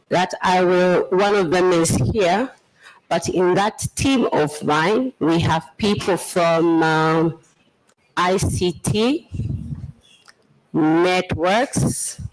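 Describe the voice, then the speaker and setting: A trade official with a Zambian accent delivers a formal presentation. The delivery is measured and explanatory, at a notably slow pace. The voice sits at a middling pitch. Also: poor audio.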